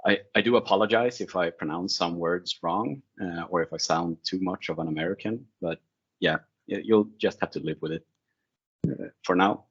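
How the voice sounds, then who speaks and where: Male tech presenter, non-native English, low-pitched and conversational, muffled lo-fi meeting audio. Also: normal speed, husky. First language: not English. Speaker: presenter, IT security consultant. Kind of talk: informal technical presentation with slides. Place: online video call screen share. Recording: dull, lo-fi online meeting audio.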